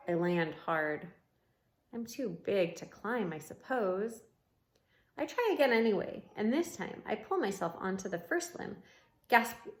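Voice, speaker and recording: A woman reading a storybook aloud like a teacher, expressive and adding comments for young listeners. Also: fairly high pitch. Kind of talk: read-aloud storybook narration with asides. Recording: roomy, echoing room sound.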